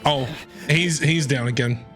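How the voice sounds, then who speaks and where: A man giving casual, animated, exclamatory reactions as he streams a video game. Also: medium pitch, unhurried. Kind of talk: excited gameplay reaction commentary. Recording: game music behind voice.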